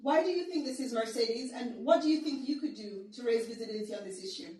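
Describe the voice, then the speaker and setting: A woman with a high voice hosts an online panel in measured, halting phrases. She sounds distant from the microphone, and the room echoes heavily around her words. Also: warm tone. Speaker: moderator of a panel webinar. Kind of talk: hosting a formal online panel discussion. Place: indoor room on an online call.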